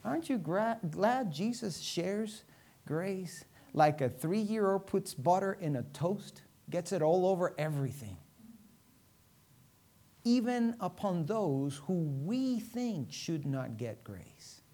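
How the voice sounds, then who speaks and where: A woman from Venezuela preaching a sermon to a congregation, using rhetorical questions and dramatic emphasis and pauses. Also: lowish voice, very animated, dark tone.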